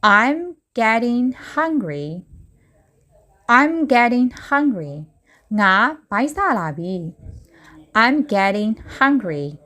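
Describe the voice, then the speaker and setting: A woman teaching English phrases, repeating each slowly word by word and then giving a translation. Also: fairly high pitch, big pitch swings, sharp tone. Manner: slow, deliberate, word-by-word repetition.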